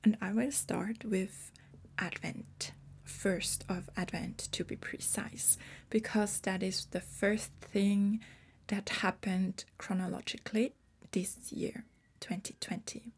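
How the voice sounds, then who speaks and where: Slow, breathy woman podcaster. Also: medium pitch, fairly even intonation, whispered. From Switzerland. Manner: hesitant, careful wording with self-corrections. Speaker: podcast host. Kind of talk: solo podcast explainer.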